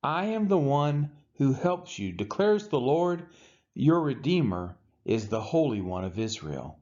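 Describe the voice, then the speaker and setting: A man reading scripture aloud in a dramatic, solemn and emphatic way, with measured pauses. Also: medium pitch, very animated, a little mellow, dull audio. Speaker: Bible reader.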